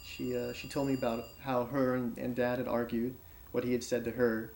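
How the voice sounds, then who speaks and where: A mid-pitched man's voice with creak and faint room noise as he plays a wary son in a film drama. He recounts events haltingly and softens as he goes, as if being interviewed. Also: completely flat delivery, natural voice, low-quality recording. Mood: matter-of-fact. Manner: hesitant, halting. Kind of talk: naturalistic film dialogue, interview-like.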